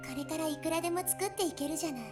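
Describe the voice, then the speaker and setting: A woman's voice: high-pitched, steady tone, rapid-fire, natural voice. A woman voicing a proud, teasing seventeen-year-old girl in love in a visual novel. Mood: tender. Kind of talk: Japanese visual novel voice acting. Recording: game music over the voice. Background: visual novel music.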